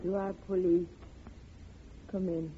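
An actress, speaking halting, sorrowful broken English. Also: female voice, fairly low pitch, level tone, slight background noise. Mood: weary, bitter. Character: grieving, weary immigrant mother, listless and resigned. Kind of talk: 1950s radio drama acting. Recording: muffled, tinny old radio recording.

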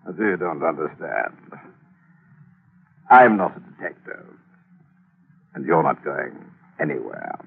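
An actor playing a sly, menacing male killer who mocks his victim in a slow, smug way, in 1940s radio acting. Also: very deep voice, vocal fry, husky, exaggerated voice. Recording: tinny old radio broadcast.

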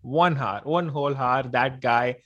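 Halting, rushed male podcast host. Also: mid-range voice, fairly bright tone, dull audio. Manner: rushed, halting, many restarts and laughs. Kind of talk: casual interview chat.

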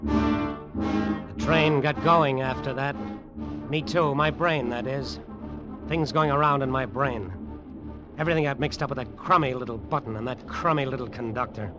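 A man's voice: medium pitch, moderate pace, over music, natural voice. An actor playing a cynical, menacing tough guy in an old radio drama, clipped, slangy and hard-boiled. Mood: wry, brooding. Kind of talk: old radio drama acting and noir narration. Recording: muffled, noisy old radio recording.

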